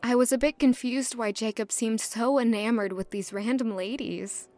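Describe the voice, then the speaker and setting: Woman acting young servant girl, fairly high voice, anxious, curious, chatty, audio drama narrator. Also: fairly even intonation, normal speed, a little mellow, no echo, faint music behind, lightly acted. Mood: reflective. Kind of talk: first-person audio drama narration and dialogue.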